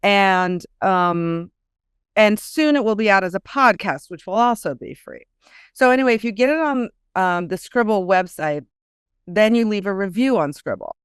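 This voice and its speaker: A woman guest author chatting casually in an interview, telling things in an animated way and acting out quotes. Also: mid-range voice, normal speed, clear, resonant tone, no echo, studio quality.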